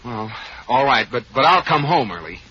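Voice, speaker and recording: An actor playing a worried, stammering banker husband in a 1940s radio comedy. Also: male voice, mid-range voice, sing-song, moderate pace, pushed and bright, breathy edge, full character voice. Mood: flustered. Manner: stammering, flustered. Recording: dull, noisy old radio broadcast with audience.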